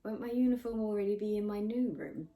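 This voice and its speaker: A woman's voice: mid-range voice, brisk, dark tone, pitched above the performer's own voice, natural voice. A woman voicing a stubborn, earnest sixteen-year-old girl in a storybook, sulky and protesting. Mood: softer, earnest. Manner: protesting, mumbling.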